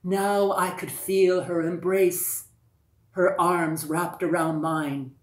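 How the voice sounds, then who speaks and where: A woman's voice: lowish voice, fairly even intonation, takes it slowly, a little mellow. A woman storyteller voicing a joyful, protective Sultan and doting father in a folktale, exultant and declamatory. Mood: joyful relief. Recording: echoey, roomy sound.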